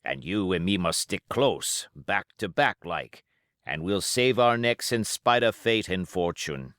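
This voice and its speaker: Audiobook role: male reader as a sly, smooth-talking old-salt pirate, low pitch, swinging intonation, rustic sailor grammar. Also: lively intonation, measured pace, fairly bright tone, dry recording, clean studio sound. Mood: confiding, wary. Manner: rustic seafaring speech with ungrammatical turns. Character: cunning one-legged male pirate, folksy, scheming, smooth-talking. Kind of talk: audiobook character dialogue.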